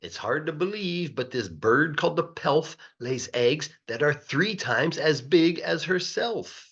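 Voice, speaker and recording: A man reads a children's story aloud in an expressive, sing-song rhythm, stretching words for emphasis like a teacher reading to a class. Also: a little high, unhurried, husky, lo-fi sound. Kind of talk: animated rhyming storybook narration.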